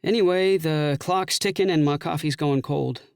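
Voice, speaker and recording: A man's voice: on the high side, normal speed, vocal fry, a little mellow, clear, resonant tone, no echo, clean studio sound. A man playing a jealous, sarcastic magician who hides his nerves behind theatrical quips in an audiobook drama. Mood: exasperated. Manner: wry, theatrical, quippy delivery. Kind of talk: full-cast audiobook dialogue acting.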